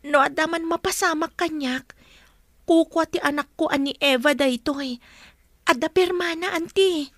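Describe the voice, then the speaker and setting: Radio drama heroine: cautious, respectful young Ilocano woman with a very high, girlish pitch. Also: moderate pace, a bit bright, dry recording, studio quality. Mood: earnest.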